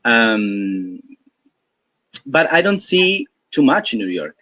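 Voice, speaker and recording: A man who hesitates and fills pauses as he chats on a video call. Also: medium pitch, big pitch swings, pushed and bright, dry recording. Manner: hesitant, slow with fillers. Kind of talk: casual video-call chat.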